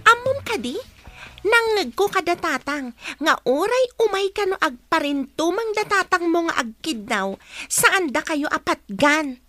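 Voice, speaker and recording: A woman playing a resentful, sharp-tongued schoolgirl who argues and talks back in a radio drama. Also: very high-pitched, big pitch swings, measured pace, faint music behind, full character voice. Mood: taunting, scornful. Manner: scolding, argumentative.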